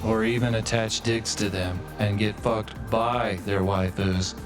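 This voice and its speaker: A deep, flat male voice acted by a man as a pathetic, self-loathing office drone in a video game. The delivery is stilted, formal and morose deadpan, with music faintly behind it. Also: unhurried, close to an everyday voice. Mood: deadpan, newly resolved. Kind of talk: video game voice acting, melodramatic monologue.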